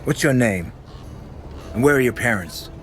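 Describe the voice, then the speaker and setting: An actor playing a stern, sardonic inquisitor in a grim medieval fantasy video game, with a gruff, dry, commanding manner. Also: male voice, mid-range voice, animated, moderate pace, a bit bright, faint music behind, close to an everyday voice. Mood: stern, confronting.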